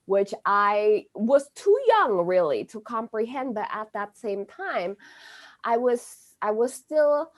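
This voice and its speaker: A woman whose first language is Indonesian, talking as an astrologer guest in an interview, halting, with many fillers and restarts. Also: mid-range voice, sing-song. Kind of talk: conversational interview.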